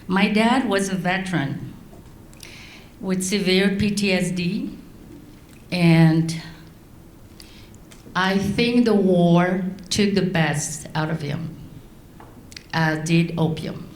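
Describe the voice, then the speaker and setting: A middle-aged woman from Laos, raised in France, giving a prepared speech to an audience in slow, deliberate phrases. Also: on the low side, echoey room, a little hiss or hum. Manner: slow, deliberate delivery with long pauses. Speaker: guest speaker.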